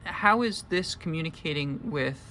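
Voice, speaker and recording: Bright-voiced man asking questions. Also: a little high, animated, normal speed, slight background noise, low-quality recording. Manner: inquisitive, checks his understanding step by step. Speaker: instructor interviewing students. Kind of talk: conversational questioning. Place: electronics lab, indoors.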